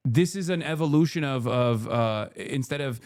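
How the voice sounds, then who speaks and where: A man's voice: medium pitch, normal speed, a little mellow. Male streamer host in casual chat. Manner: conversational, with frequent fillers.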